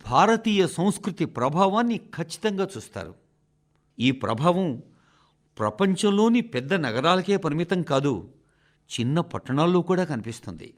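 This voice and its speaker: A man reads a scripted formal radio address as a translator's voice, in a measured, deliberate way, phrase by phrase. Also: sits high.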